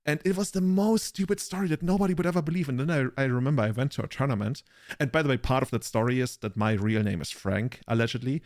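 A man's voice: medium pitch, fast, darkish tone, no room sound, clean studio sound. A man in his thirties with a German accent, chatting casually as a podcast co-host and telling rambling, self-mocking anecdotes.

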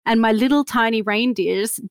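A woman's voice: a little high, moderate pace, no echo, clean studio sound. A woman in her fifties from Australia, with an Australian accent carrying a slight Canadian tinge, telling stories as an interview guest with lots of laughter and self-mockery. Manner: animated storyteller, laughs often, self-mocking. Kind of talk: casual interview chat.

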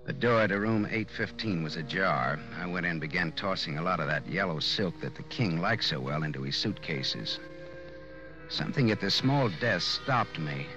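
A man's voice: low-pitched, animated, normal speed. A man playing a hard-boiled, wisecracking private detective who narrates his story in 1940s radio noir style. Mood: wry, moody. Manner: sardonic, slangy, wisecracking. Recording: dull old-time radio recording, loud music.

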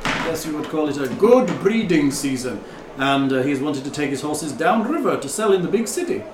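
A man's voice: on the high side, lively intonation, roomy sound, distant mic, noisy background, close to an everyday voice. A man voicing a hesitant foreign male river trader in a tabletop game. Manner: halting, full of hesitations.

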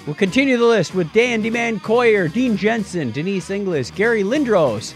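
A man's voice: high voice, expressive, normal speed, faint music behind. A man co-hosting a casual podcast, talking in a jokey, teasing way. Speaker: podcast co-host. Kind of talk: casual podcast chat with patron thank-yous.

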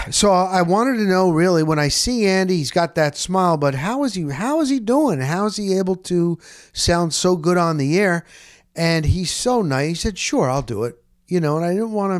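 Higher-voiced man hosting a casual podcast. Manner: rambling, emphatic, repeats phrases for stress. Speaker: podcast host. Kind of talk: casual conversational podcast introduction.

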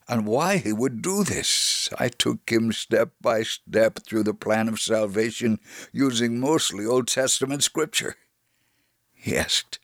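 A man reading a first-person faith story aloud as scripted narration, in a measured, solemn manner. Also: lowish voice, a bit bright, no echo, clean studio sound.

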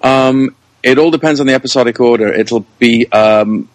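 A man talking casually as an interview guest, thinking aloud and pausing as he works out his answers. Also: mid-range voice, no echo. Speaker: interview guest, show creator, writer and director.

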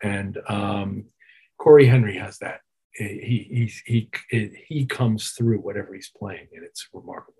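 A man's voice: lowish voice, a little slow, dark tone, slightly rough, low-quality recording. A man speaking as a musician guest in an interview, reflective and conversational, repeating phrases for emphasis. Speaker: interview guest, keyboard player.

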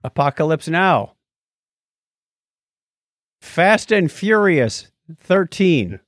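A man's voice: on the high side, sing-song, extremely slow, dry recording, clean studio sound. A man hosting a podcast in a casual, playful and enthusiastic chatting style. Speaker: podcast host.

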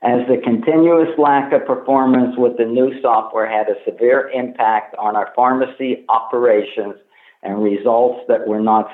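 A man formally reading prepared remarks as a company executive on an earnings call over the phone. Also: medium pitch. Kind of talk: scripted earnings call presentation. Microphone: telephone conference call. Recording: tinny phone line with echo.

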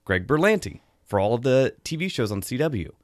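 A man's voice: medium pitch, very animated, a little fast, no room sound, clean studio sound. An American man hosting a review show, talking casually as he gives his take. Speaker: host of a film and book review show.

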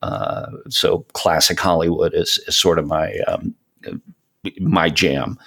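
A man in his late fifties with a low-pitched voice talks as a guest in a casual interview. He feels his way through sentences haltingly, wandering into asides as he describes himself. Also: gravelly, fairly bright tone, slightly rough. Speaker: podcast guest, fellow podcaster.

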